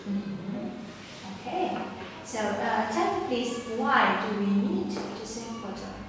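A young woman teacher asking a child test questions slowly and clearly, with short praise between answers. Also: fairly high pitch, animated, over music. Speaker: English teacher giving an oral test. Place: small classroom, indoors. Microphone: far camera. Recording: echoey room, far from the mic, background noise.

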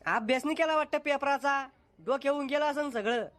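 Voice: high-pitched, brisk. A voice actor playing a strict rural male schoolteacher, blunt and scolding. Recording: loud music under the voice.

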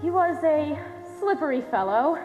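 A wry American woman scientist acted by a young woman speaks in a high, lively voice, projected for a stage play. The hall rings with long reverb and music plays behind. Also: normal speed, phone-quality sound. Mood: patient, reassuring. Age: young adult. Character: dry-witted, protective woman scientist. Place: theater stage, distant camera.

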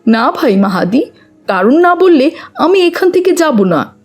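A pious, earnest schoolboy in a children's cartoon. The voice is high and swings animatedly, with a preachy manner. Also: female voice, sing-song, a little slow, exaggerated voice. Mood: questioning, insistent. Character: pious, earnest schoolboy who admonishes his friend. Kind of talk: children's moral cartoon dubbing.

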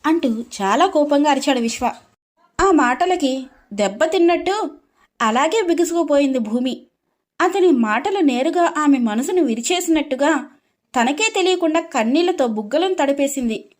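High-voiced woman storyteller. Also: animated, normal speed. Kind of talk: scripted dramatic story narration.

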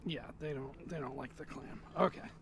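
A man's voice: medium pitch, normal speed, background noise. A man vlogging while fishing on an outdoor pier, chatting casually and muttering to himself, recorded on a head-mounted action camera. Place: outdoors on a pier over an inlet.

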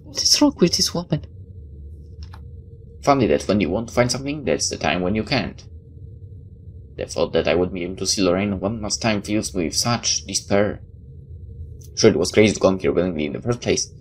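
A woman's voice: a bass voice, fairly quick, darkish tone. A woman gamer reading game text aloud and adding casual, incredulous commentary. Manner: incredulous asides. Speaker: gamer doing a playthrough. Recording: faint background noise.

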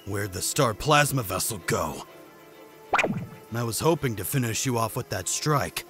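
A man voicing a smug, menacing male assassin in an amateur manga dub, mocking his opponent in a deadpan, unhurried way. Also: lowish voice, faint music behind, voice pushed down.

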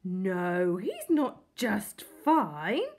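A woman's voice: mid-range voice, huge pitch swings, drawn-out words, darkish tone, exaggerated voice. A woman reading a storybook aloud, voicing a sweet, effusive middle-aged woman who dotes on her pet. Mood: insistent. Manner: effusive, pleading.

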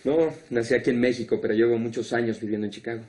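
A man's voice: medium pitch, steady tone, fast, warm tone, close to an everyday voice. An actor playing a charming man in his thirties in a telenovela, flirting with a local woman. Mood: flirty. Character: charming man back from abroad. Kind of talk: 1990s telenovela acting.

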